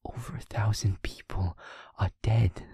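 Casting: male actor as driven young werewolf boyfriend for audio roleplay. Low, very breathy, slow, earnest and wounded. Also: fairly even intonation, warm tone, no room sound, clean studio sound, full character voice. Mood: anguished, driven. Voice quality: husky, breathy, often near whisper. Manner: halting, earnest, emotionally strained. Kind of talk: intimate audio roleplay acting.

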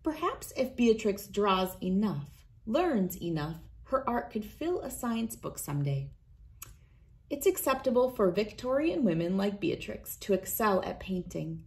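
A woman in her thirties reading a children's picture book aloud in a deliberate, expressive storytelling manner. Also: mid-range voice. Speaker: read-aloud host. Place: indoors, wood-panelled room with large windows.

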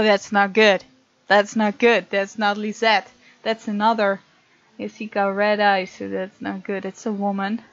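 A woman's voice: mid-range voice, dull audio. A woman doing a let's play, reading a visual novel aloud with chatty, giggly asides.

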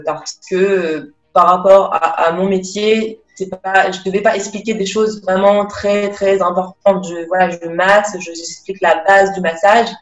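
Young woman, hesitant, on roomy lo-fi call. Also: lowish voice. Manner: hesitant, many fillers and restarts. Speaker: interview guest. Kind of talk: casual testimony over video call. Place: small room indoors. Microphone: laptop webcam mic on video call. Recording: roomy, dull lo-fi call audio with faint music.

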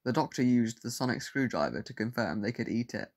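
A man's voice: medium pitch, expressive, fairly quick, natural voice. A man narrating a scripted science fiction audio drama as its storyteller. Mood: measured storytelling.

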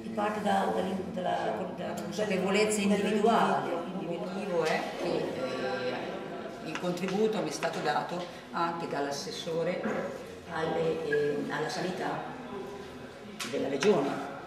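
A woman's voice: fairly low pitch, measured pace. A middle-aged woman presenting her own project in earnest, repeating phrases for emphasis. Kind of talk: personal presentation speech. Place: office room with computers. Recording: echoey room from far off, with crowd.

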